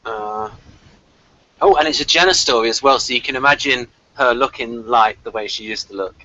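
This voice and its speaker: A man's voice: mid-range voice, normal speed, sharp tone. A man hosting a review show, chatting casually with his co-reviewer. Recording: muffled lo-fi call audio.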